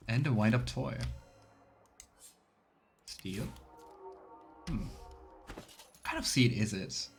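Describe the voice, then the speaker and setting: A man doing casual let's play commentary, musing and thinking aloud, with music behind him. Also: medium pitch. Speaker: video game streamer.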